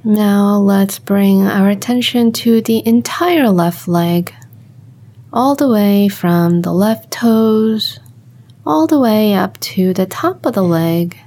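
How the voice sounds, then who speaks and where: A woman leads a guided body scan meditation in a calm, mellow voice. Her delivery is slow and gentle, with long pauses between short instructions. Her pitch sits in an average range for a woman.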